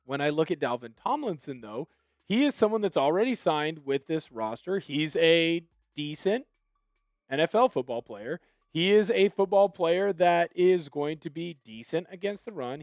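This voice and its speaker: A man hosting a solo sports news video, giving opinionated commentary that now and then bursts into animated excitement. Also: fairly high pitch, no room sound, clean studio sound.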